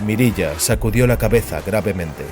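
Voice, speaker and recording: A man's voice: fairly low pitch, expressive, normal speed, music in the background. A man giving a dramatic narration of scripted fiction as an audiobook narrator.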